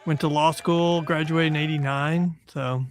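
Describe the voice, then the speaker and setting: A man's voice: fairly high pitch, fairly even intonation, a little slow, slight background noise. A man in his sixties from Texas, a guest attorney talking casually and with self-deprecating humor in an interview. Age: 60s.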